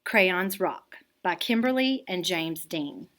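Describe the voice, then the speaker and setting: Slow, lively woman reading a picture book. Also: lowish voice. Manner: expressive, deliberate storytelling for young children. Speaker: art teacher reading aloud. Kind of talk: animated children's picture book read-aloud.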